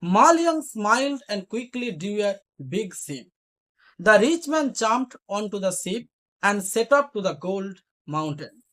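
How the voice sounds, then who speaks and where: A woman English teacher retelling a story as a lesson, deliberately explaining and repeating phrases for learners. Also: medium pitch, big pitch swings, a bit bright.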